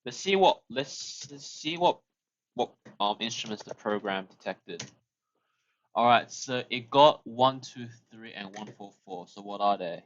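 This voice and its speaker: Young male streamer, casual coding chat, mid-pitched, slow, lo-fi audio. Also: lively intonation. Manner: thinks aloud, restarts phrases, chuckles often.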